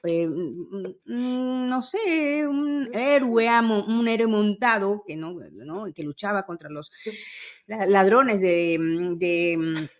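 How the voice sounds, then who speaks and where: A woman in her fifties speaks as a podcast host with a medium-pitched voice. She tells things in an enthusiastic, nostalgic way, as casual conversation with a friend. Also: lively intonation, slow pace. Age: 50s. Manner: enthusiastic, storytelling with spoken sound imitations.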